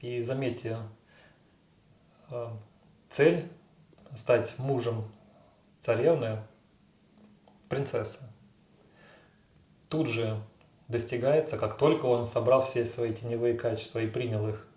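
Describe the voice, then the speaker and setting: A man's voice: medium pitch, steady tone, slow, long pauses, warm tone. Hesitant man in an echoey room. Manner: hesitant, many fillers. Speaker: co-host discussing a fairy tale. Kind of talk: casual analytical discussion.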